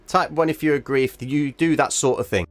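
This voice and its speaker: A man's voice: fairly high pitch, a little fast, no echo, soft background music. A man hosting a live trading stream, walking viewers through a chart in an emphatic way and often checking in with "all right?", while losing his voice. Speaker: trading livestream host.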